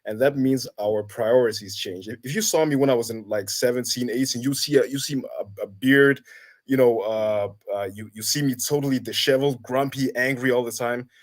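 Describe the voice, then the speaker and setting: A man in his thirties from Kenya, a guest in a casual conversation, talking thoughtfully with many halts and restarts. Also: mid-range voice. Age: 30s. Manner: halting, many restarts and fillers. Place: Denmark.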